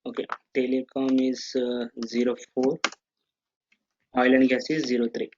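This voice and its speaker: Brief: male trainer, mid pitch, talks through setup steps with lots of "okay"s, dull lo-fi audio. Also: monotone, a little slow, background noise. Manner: explanatory, full of "okay" checks and restarts. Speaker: software trainer. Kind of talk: live online training session.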